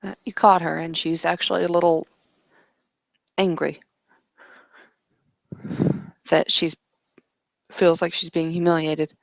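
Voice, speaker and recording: A woman's voice: lowish voice, little pitch movement, moderate pace, creaky, dry recording. A woman casually narrating a tabletop roleplaying game as game master.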